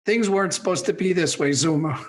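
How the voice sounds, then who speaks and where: An actor plays a stubborn older boomer on a comedy radio show. The voice grumbles and complains with indignation, set against anything modern, at a middling pitch. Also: brisk, close to an everyday voice. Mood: aggrieved. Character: grumpy, stubborn, technophobic older movie reviewer, a boomer. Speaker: movie recap co-host. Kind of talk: scripted comedy radio review sketch.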